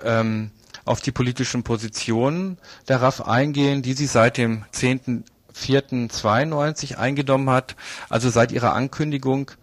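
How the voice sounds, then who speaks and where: A man presents a live radio news magazine. He has a medium-pitched voice and speaks partly from a script, partly freely. The sound is close and dry. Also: no room sound.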